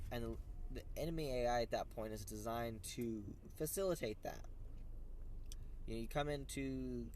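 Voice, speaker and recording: Stuffy-nosed male vlogger, rambling casual car chat, clear road noise behind. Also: mid-range voice, a little mellow. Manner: chatty, rambling, with fillers and asides. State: congested, nasal, sore throat. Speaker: host of a gaming commute vlog. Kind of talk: casual gaming news recap while driving. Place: in a car on the way to work. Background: car and traffic noise.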